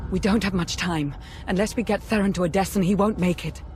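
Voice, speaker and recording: A woman's voice: mid-range voice, fairly quick, over loud music, lightly acted. An actress playing a pragmatic, dry-witted female intelligence adviser in a sci-fi game, matter-of-fact. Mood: grave, businesslike. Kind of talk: video game cutscene acting.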